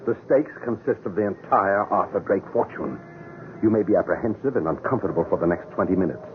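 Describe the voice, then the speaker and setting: Actor as a deep, coldly calculating male schemer. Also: quick pace, lightly acted. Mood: coolly persuasive. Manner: smooth, commanding, formal. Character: cold, calculating male killer soothing his anxious accomplice. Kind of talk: 1970s radio drama acting. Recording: noisy, tinny old radio broadcast.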